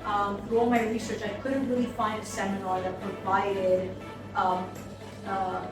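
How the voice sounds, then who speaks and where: A woman who owns a business, giving a thoughtful testimonial with many ums and pauses. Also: medium pitch, flat delivery. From United States. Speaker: seminar attendee, business owner. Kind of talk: testimonial interview. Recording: echoey room, mic far off, music behind.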